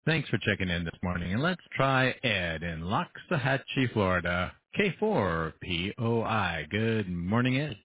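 A man hosting an amateur radio net, calling each station in turn and thanking them in a brisk, friendly way. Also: lowish voice, sing-song. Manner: brisk, friendly roll-call delivery. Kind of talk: radio net check-in roll call. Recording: phone-like radio audio with faint hiss.

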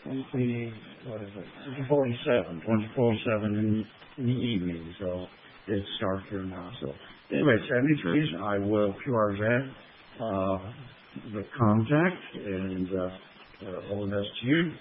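A man working a ham radio contact, relaxed and friendly, reading out call signs and chatting over a digital radio app on his phone. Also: fairly low pitch, a little slow. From USA. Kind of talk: amateur radio contact chat. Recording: tinny radio transmission with faint noise.

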